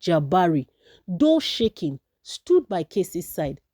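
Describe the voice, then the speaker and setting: Mellow woman storyteller, lively intonation. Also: lowish voice, slow, dry recording, clean studio sound. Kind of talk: scripted folktale narration.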